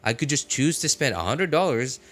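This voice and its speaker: A man's voice: mid-range voice, very animated, brisk, fairly bright tone. A man chatting casually as a live streamer, rambling through his reasoning and often checking in with short questions. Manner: conversational, argues points with frequent check-in questions. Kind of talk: casual rambling livestream chat. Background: music.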